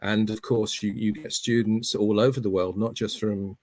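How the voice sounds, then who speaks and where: A man with a fairly low voice argues his point earnestly on an online panel, leaning on key words as he lectures. The sound is dry, with no room echo. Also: little pitch movement, moderate pace, a little mellow. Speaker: academic panelist.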